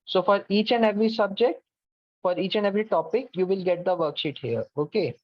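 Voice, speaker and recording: A man's voice: high-pitched, a little fast, dry recording. A man walks students through an online course platform, patiently giving step-by-step instructions and often saying "okay". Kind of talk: online class platform walkthrough. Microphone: video call mic. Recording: dull lo-fi video call, dry.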